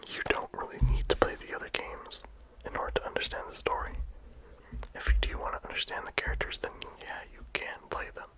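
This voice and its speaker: A game reviewer talking casually in a soft ASMR whisper, with frequent "like" and "um" fillers. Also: brisk. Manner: soft unvoiced whisper throughout.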